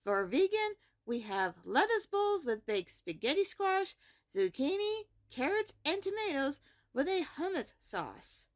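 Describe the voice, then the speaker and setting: A woman playing a caring, orderly high school principal giving scripted morning announcements in a fantasy series. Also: very animated, a little slow. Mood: appreciative.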